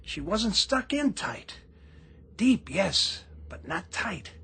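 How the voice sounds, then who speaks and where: An actor playing a dutiful, plain-spoken navy bosun who reports respectfully in a radio drama. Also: male voice, high-pitched, sing-song, slow pace, vocal fry, sharp tone, slight background noise, full character voice. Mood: reporting, respectful. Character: dutiful, hardy male navy bosun diver.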